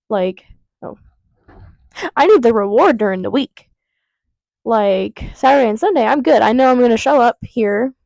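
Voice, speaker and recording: A young woman talking casually to her viewers while congested with a cold, her nose audibly stuffed. Her voice sits a little high, and she drifts from one tangent to the next with plenty of fillers. The recording is close and dry, with almost no room sound. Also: creaky, a little mellow, no echo, rough recording. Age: 20s. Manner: rambling, lots of fillers and tangents. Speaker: vlogger. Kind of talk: casual chat to camera. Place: vape shop counter.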